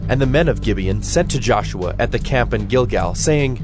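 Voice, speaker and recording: A man narrating a dramatized audio Bible as a scripted storyteller, in a measured, solemn manner. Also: mid-range voice, animated, music in the background.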